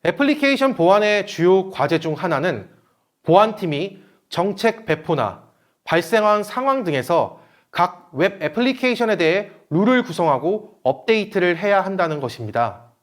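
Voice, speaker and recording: A man's voice: sits high, sing-song, moderate pace. A man giving a scripted webinar presentation in a formal, explanatory manner. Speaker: presenter, company manager.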